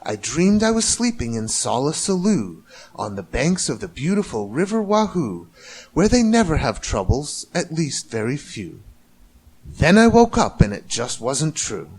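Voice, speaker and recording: A man's voice: a little high, very animated, unhurried, darkish tone, breathy edge, rough recording. A man narrating a rhyming storybook with theatrical, rhythmic delivery. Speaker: amateur storybook reader.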